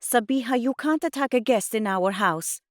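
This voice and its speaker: A woman's voice: mid-range voice, very animated, measured pace, no room sound, clean studio sound, pitched above the performer's own voice. A woman voicing a reproachful, weary and anxious mother in dramatised audiobook dialogue, scolding and urging. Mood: stern.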